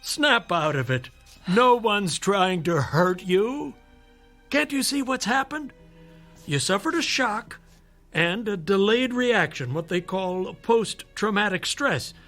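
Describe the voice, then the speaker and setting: A fatherly doctor in a radio drama, full of measured reassurance and skeptical condescension. His voice sits high for a man, with faint music behind it. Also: animated, a bit bright, heavily acted. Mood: firm persuasion. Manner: measured, patronizing, rhetorical persuasion. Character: male doctor, persuasive, skeptical, fatherly toward his patient.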